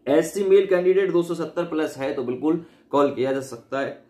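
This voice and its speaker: A man's voice: a little high, normal speed, a little mellow. A man explaining things informally as a video presenter, rephrasing and checking in with his listeners. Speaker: exam-update video presenter. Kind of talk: informal explanatory commentary on recruitment cut-offs. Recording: echoey, reverberant room.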